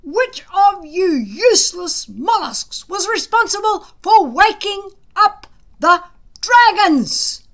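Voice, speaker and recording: A woman's voice: very high voice, sing-song, voice pushed up, full character voice. Woman putting on a bellowing, blustering Viking warrior man. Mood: furious, sarcastic. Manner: bellowing, sarcastic, drawn-out emphatic words. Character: gruff, blustering, bullying Viking warrior man, drill instructor.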